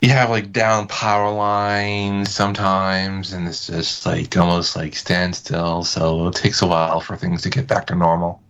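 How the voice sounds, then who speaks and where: A man chatting casually as a podcast guest, with halting, hesitant phrasing. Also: deep voice, level tone, a bit bright, dull audio. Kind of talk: casual chat.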